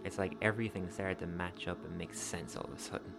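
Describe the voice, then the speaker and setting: A man performs a young man looking back on a doomed love affair in a dark-comic radio play. His voice is low, his storytelling wistful and plain-spoken, blunt even when the language turns poetic. Music plays clearly behind him. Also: fast. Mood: frank.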